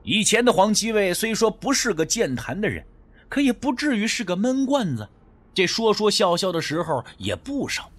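A man's voice: high-pitched, big pitch swings, normal speed. A man narrating a scripted suspense story as an audiobook, with a storyteller's dramatic phrasing.

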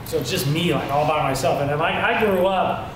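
A man's voice: on the high side, a little fast, a little hiss or hum. A man in casual barbershop conversation, telling a loose, self-mocking story about becoming a father. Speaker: panelist. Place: barbershop, indoors. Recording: very echoey shop with crowd chatter.

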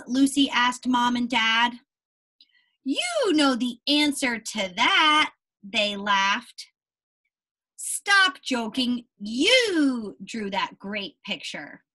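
A young woman teacher reading aloud to young children in an expressive, deliberate way and putting questions to them. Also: on the high side. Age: young adult. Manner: animated, deliberate storybook reading with questions to the listeners.

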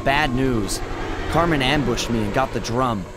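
An actor playing an eager young field agent in a video game, determined, boyish and brash. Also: male voice, mid-range voice, measured pace, background noise, lightly acted. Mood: keen, businesslike. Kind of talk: video game cutscene acting and narration.

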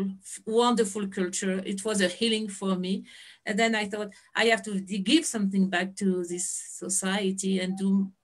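A middle-aged woman with a French accent, a guest on a video call interview, talking through a headset, telling stories with lots of laughs and hand-waving. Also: medium pitch. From France. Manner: animated storyteller, laughs often, waves hands. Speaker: guest, education founder.

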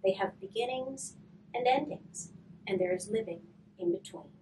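A woman in her thirties, a hospice volunteer, gently reading a storybook to children and then explaining a craft, her voice often dropping to a whisper. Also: medium pitch. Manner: soft, slow and gentle, often trailing to a whisper. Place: curtained room, indoors. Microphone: far camera. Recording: distant mic with faint room noise.

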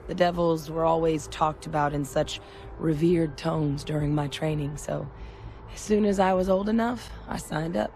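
A woman playing a candid, measured military veteran in a video game, speaking deliberately. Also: low voice, steady tone, warm tone, a little hiss or hum. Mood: reflective. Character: measured, candid female soldier-scientist, war veteran.

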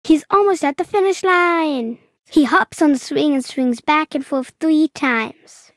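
A woman narrating a children's story in an enthusiastic read-aloud style, clearly paced for young listeners. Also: sits high, a little slow, dry recording, studio quality.

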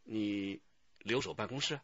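An actor playing a brisk, decisive police detective chief in a Chinese radio drama, with a husky voice. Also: male voice, lowish voice, fairly bright tone, no echo, muffled recording. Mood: commanding.

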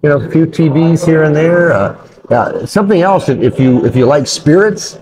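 A man's voice: on the high side, animated, moderate pace, low-quality recording. An older man co-hosting a beer show in casual, unscripted chat, rambling and circling back over his phrases. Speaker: co-host of a beer review show. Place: brick-walled pub, indoors at a table.

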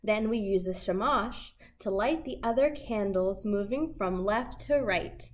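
A young woman hosting a children's storytime, reading a picture book aloud in simple, deliberate phrases for toddlers. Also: mid-range voice. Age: young adult. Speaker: children's library storytime host. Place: small indoor set with fabric backdrop.

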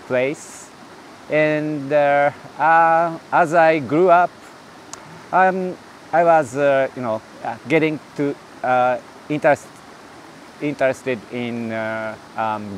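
A middle-aged man from Japan with a Japanese accent, a non-native speaker answering interview questions in English, with many pauses and fillers, recorded outdoors by a stream on a lapel mic. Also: mid-range voice, a little hiss or hum, low-quality recording. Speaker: interview guest.

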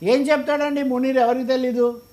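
A man's voice: very high-pitched, flat delivery, brisk, darkish tone. A man with a Telugu accent giving political commentary in an interview, arguing emphatically and throwing out rhetorical questions.

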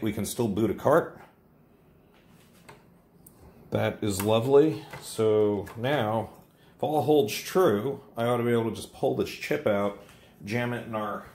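A man with a deep, gravelly voice talks through a computer hardware repair in a loose, rambling way. He sounds informal and a little self-mocking. A faint hiss sits under his voice from the home bench. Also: a little mellow. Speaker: electronics hobbyist host. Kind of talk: casual technical walkthrough. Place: home workbench with computer gear.

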